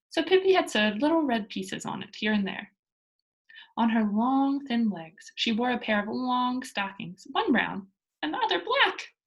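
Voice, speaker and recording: A woman reading a children's story aloud as narrator, in a deliberate, expressive storytelling manner. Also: on the high side, low-quality recording.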